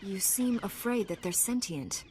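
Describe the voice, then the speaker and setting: A woman playing a resolute, composed Jedi Knight heroine in a video game, calm and dutiful. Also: medium pitch, measured pace, slight background noise, natural voice. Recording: game music underneath.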